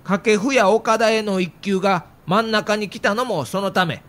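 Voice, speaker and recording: A seasoned baseball pundit with a Kansai accent, who walks through his reasoning in a plain, matter-of-fact way. His voice sits fairly high for a man. He sounds calm and knowing in this acted audio documentary. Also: moderate pace. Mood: explaining.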